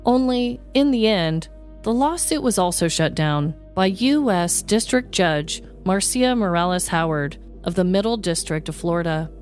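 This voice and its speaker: A woman's voice: fairly low pitch, moderate pace, darkish tone, faint music behind. A woman podcast host reading a scripted, comedic true-crime story as narrator.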